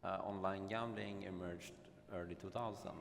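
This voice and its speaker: A man's voice: low voice, fairly even intonation, moderate pace, vocal fry. A man with a Swedish accent speaking conversationally on a conference panel, often searching for words. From Sweden. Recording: conference hall reverb with faint noise.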